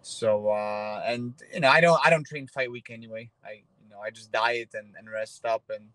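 A man's voice: medium pitch, normal speed, dry recording, low-quality recording. A man in his thirties, a Polish native speaker, chatting in a casual interview from his car, with lots of fillers and restarts. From Poland. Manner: relaxed, many fillers and restarts. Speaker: interviewee, fighter. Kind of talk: casual video-call interview. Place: parked car. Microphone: phone held at arm's length.

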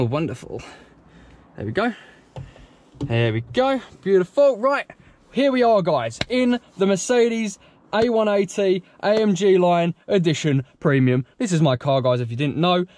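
A man's voice: sits high, lively intonation, measured pace, darkish tone. A young man with a British accent, chatting casually and ramblingly to viewers as a car vlogger, recorded inside a car on a head-mounted camera. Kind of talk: casual chat to viewers while driving. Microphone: head-mounted action camera.

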